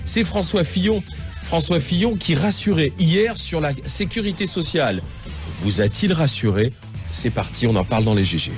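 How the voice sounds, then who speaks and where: A man hosting a live radio talk show, announcing topics and guests in a brisk way. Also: fairly high pitch, animated, faint music behind. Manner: brisk, announcing, with filler "euh".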